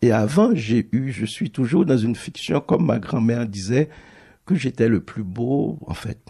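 Creole-native male publisher, husky, mellow timbre, halting and thoughtful. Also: mid-range voice, animated. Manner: thoughtful, halting, restarts phrases often. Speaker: book publisher. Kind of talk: reflective public talk.

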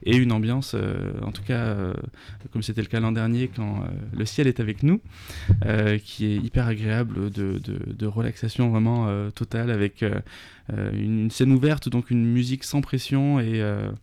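Gravelly, hesitant man. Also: fairly low pitch, fairly even intonation, normal speed, mellow, no echo. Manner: hesitant, many fillers and restarts. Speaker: festival organizer, guest. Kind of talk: radio interview, conversational.

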